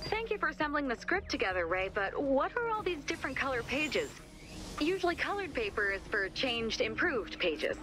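Skeptical female game captain: highish, lively intonation, dry and instructive, music behind. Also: moderate pace. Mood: puzzled. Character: level-headed, skeptical female ship captain. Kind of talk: video game character voice acting.

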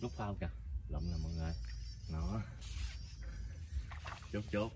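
A man with a Southern Vietnamese accent giving excited live commentary while fishing at a rural pond, repeating words as fish bite. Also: low voice, unhurried, noisy background. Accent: Southern Vietnamese (Mekong Delta). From Mekong Delta, Vietnam. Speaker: fishing vlogger. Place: outdoors by a muddy pond in rural greenery. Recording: outdoor ambience with birds.

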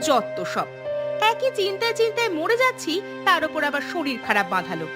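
A woman's voice: very high voice, sing-song, moderate pace, loud music behind the voice. A woman playing a scolding, worried village wife in a horror-comedy cartoon, nagging in an exasperated way.

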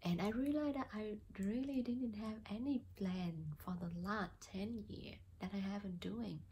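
A woman's voice: mid-range voice, unhurried, mellow, slight background noise. A young woman from Vietnam with a Vietnamese accent, talking casually to camera as a new real estate agent, hesitant with many fillers. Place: small plain indoor room.

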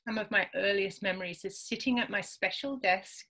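A woman's voice: medium pitch, moderate pace, sharp tone. A woman in her forties, a Spanish native speaker from Peru, talking casually as an author guest over a video call from her home, with many ums and easy laughs. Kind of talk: casual conversational interview. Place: home room with bookshelves. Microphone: video call webcam.

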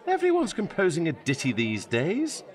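A breezy, animated Tudor slacker. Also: male voice, fairly high pitch, moderate pace, some chatter behind. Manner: flippant, airy, mock-earnest. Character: breezy, evasive Tudor court composer, male, nonchalant slacker. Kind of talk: comic audio drama acting.

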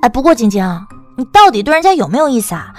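A woman acts a playful, gossipy young woman in an audiobook drama. Her voice is high and very animated. She chatters and teases in exclamations over faint music. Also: quick pace. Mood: playful, prying. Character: bubbly, teasing, gossipy young female best friend.

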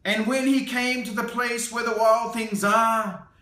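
A man in his thirties reading a picture book aloud, slow and expressive, with playful asides, seated in an armchair indoors. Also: extremely high pitch. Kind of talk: children's storytime read-aloud. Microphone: camera on a tripod a few feet away. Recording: roomy, slightly distant sound.

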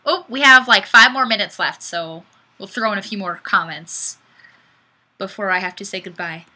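A young woman talks into her webcam from a small room, hosting her weekly livestream. Her voice is bright and slightly husky, and she chats in a goofy, bubbly way with her viewers. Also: medium pitch, normal speed. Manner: bubbly and goofy, bursts of squealing excitement. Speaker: livestream host. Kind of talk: casual livestream chat reading comments. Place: small indoor room, plain wall. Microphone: webcam mic.

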